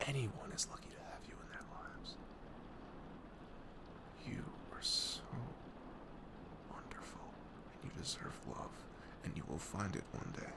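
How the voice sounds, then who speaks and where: A young man playing a caring, reassuring best friend in an intimate ASMR roleplay, soft-spoken and earnest, with audible noise in the recording. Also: deep voice, wildly swooping pitch, measured pace, long pauses, exaggerated voice. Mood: tender, soothing. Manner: soft-spoken, often breathy, hesitant, earnest. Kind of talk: ASMR roleplay acting, intimate casual talk.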